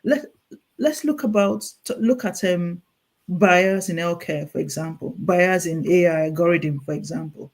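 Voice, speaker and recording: A woman's voice: lowish voice, measured pace. A woman with a Nigerian accent, a clinical nurse specialist speaking as a guest, explaining earnestly and often restarting her sentences. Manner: earnest, urging, many restarts and repetitions. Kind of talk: earnest explanatory interview talk.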